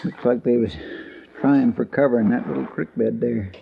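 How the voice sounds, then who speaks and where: An actor playing a talkative, simple-hearted frontier sidekick with a rural Western drawl and rambling, deferential homespun manner, in 1950s radio western style. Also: male voice, medium pitch, steady tone, normal speed, vocal fry. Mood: shocked, sorrowful. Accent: rural Western American drawl. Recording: muffled, noisy vintage radio recording.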